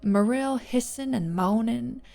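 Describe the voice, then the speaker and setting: A woman's voice: a little high, unhurried, creaky, warm tone, faint music behind. A woman reading scripted fiction as a narrator.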